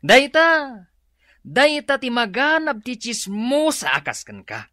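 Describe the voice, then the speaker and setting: A woman's voice: high-pitched, very animated, slow pace, sharp tone, no room sound, clean studio sound, full character voice. A woman playing a tipsy, mocking village drinker in an Ilocano-accented radio comedy, drawling and teasing. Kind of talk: comedic radio drama acting.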